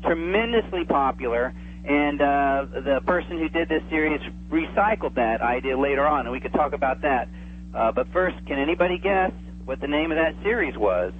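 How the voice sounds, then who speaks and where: Guy phoned into a radio show, tinny line w some hum, kinda high voice, chatting away enthusiastically. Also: lively intonation, moderate pace. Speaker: interview guest, old-time radio enthusiast. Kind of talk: casual radio interview chat. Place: remote, calling in to a radio show. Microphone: telephone.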